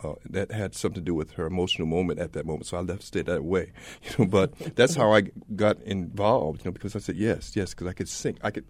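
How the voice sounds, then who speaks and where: A man's voice: low-pitched, fast, few pauses, no room sound. A man from Connecticut rambling about his childhood singing, with lots of "you know". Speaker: panel guest. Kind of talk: casual panel conversation.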